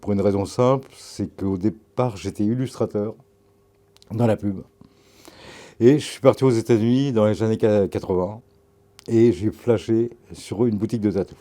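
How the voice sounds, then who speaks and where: Older man, dark low voice. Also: moderate pace. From Normandy, France. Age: 60s. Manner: relaxed, rambling anecdotes with slang and restarts. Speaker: tattoo artist and photographer. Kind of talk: casual interview, storytelling.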